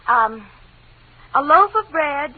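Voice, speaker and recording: An actress playing a naive, gullible young secretary in a 1940s radio drama, fluttery, hesitant and earnest. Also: female voice, high voice, animated, takes it slowly, narrow, tinny audio. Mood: flustered, wistful. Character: scatterbrained, gullible, devoted young female secretary. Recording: thin, noisy old radio recording.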